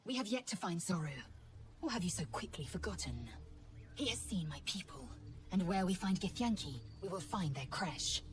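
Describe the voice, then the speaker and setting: A woman's voice: fairly low pitch, expressive, moderate pace, music in the background, close to an everyday voice. An actress voicing a haughty, blunt alien warrior woman in a video game, with clipped, formal disdain. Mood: cold disdain.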